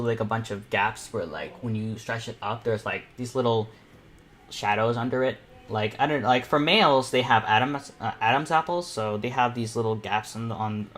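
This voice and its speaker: Young guy doing a chill art stream tutorial, medium pitch, kinda rambly, thinking out loud. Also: slight background noise. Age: teens to young adult. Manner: rambling, thinking aloud while working. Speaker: livestream host and self-taught painter. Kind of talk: casual painting tutorial livestream.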